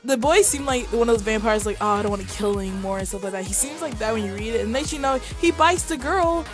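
A woman's voice: on the high side, lively intonation, fast, music in the background. A woman from Kentucky hosting a podcast, chatty and bubbly, often tripping over her words. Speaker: podcast host. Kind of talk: casual podcast chat.

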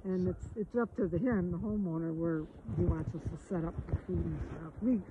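A woman's voice: lowish voice, brisk. A woman organizing a nonprofit's event, talking over plans with a vendor in a hesitant, polite way. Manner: hesitant, polite, lots of fillers. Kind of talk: conversation with a vendor. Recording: tinny phone-like sound, faint outdoor noise.

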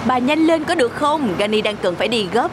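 A woman voicing a brisk, businesslike manager in a cartoon dub, who gives orders briskly. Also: a little high, expressive, background noise, natural voice. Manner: brisk, commanding. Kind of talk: cartoon dubbing.

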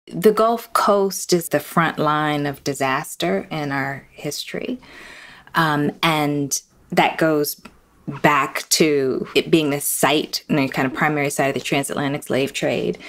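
A woman's voice: low voice, a little slow. A woman professor giving a thoughtful, unscripted explanation as a guest expert.

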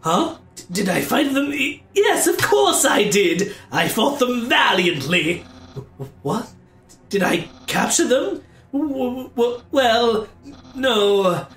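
A man voicing a nervous, boastful skeleton who stammers and speaks loudly and high. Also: big pitch swings, takes it slowly, echoey room, distant mic, soft background music, full character voice. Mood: flustered.

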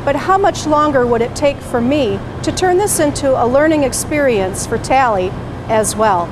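A middle-aged woman explaining with a clear, patient, teacherly manner, recorded on camera in a grocery store. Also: high voice, animated, normal speed, background noise. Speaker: parent educator. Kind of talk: instructional presentation to camera. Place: grocery store produce section, indoors.

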